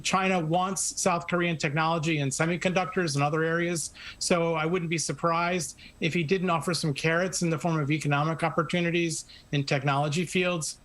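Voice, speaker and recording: A man with a slightly high voice explains world affairs as a guest on a news programme. He speaks in a measured, explanatory way. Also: a bit bright. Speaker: guest analyst. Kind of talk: news interview commentary.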